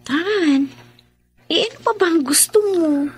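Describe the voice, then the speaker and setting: A woman's voice: very high-pitched, lively intonation, slow pace, soft background music, full character voice. A woman with a Filipino accent playing an emotive, wheedling young working-class daughter and sister in a radio drama. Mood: tender, pleading.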